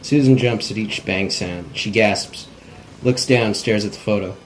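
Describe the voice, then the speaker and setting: A man's voice: lowish voice, unhurried, rough recording. A man reading stage directions in an amateur cold table read, plainly and with stumbles over words. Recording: faint background noise.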